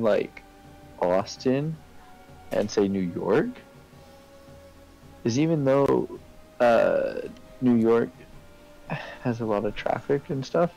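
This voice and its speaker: A man talks casually in a gravelly, mellow voice over soft background music. He rambles through explanations in the loose manner of a livestream chat. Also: medium pitch, muffled recording. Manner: rambling, explains with hypotheticals and tag questions. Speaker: game streamer.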